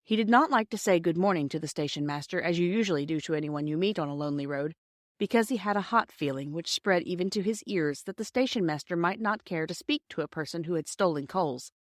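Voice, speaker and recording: A woman's voice: lowish voice, fast talker, dry recording, clean studio sound. A woman reading a storybook aloud as scripted narration. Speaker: audiobook reader.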